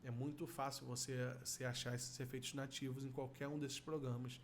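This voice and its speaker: A man's voice: medium pitch, flat delivery, fairly quick, slight background noise. A middle-aged man with a Brazilian accent, explaining vocal effects as an instructor in a tutorial. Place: small indoor room at a computer.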